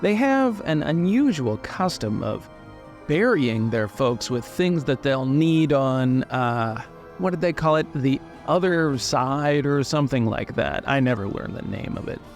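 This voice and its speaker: A man voicing an eager, wheedling shopkeeper of curios in an improvised tabletop game, full of hesitant, flattering sales patter. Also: mid-range voice, measured pace, faint music behind. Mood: smooth sales pitch.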